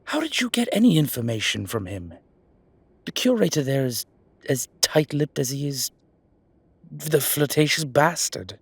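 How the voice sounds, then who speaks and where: A man's voice: a little high, big pitch swings, moderate pace, husky, no room sound, heavily acted. A man playing an exasperated, protective male vampire in an ASMR roleplay drama, scolding and sardonic. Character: exasperated, protective male vampire, dry-witted and world-weary. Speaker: voice actor.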